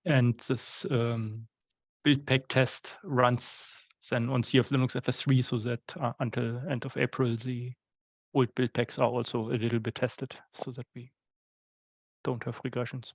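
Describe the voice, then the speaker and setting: A man's voice: mid-range voice, level tone, moderate pace, no room sound. A man explaining things tentatively and casually in a technical online meeting, as a working group participant. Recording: muffled, dull online call audio.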